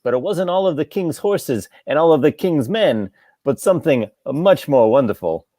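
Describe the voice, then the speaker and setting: A woman's voice: deep voice, expressive, normal speed, dark tone, dry recording, clean studio sound. A woman playing an indignant, self-important male lodger in a theatrical comedy table read, with a pompous manner.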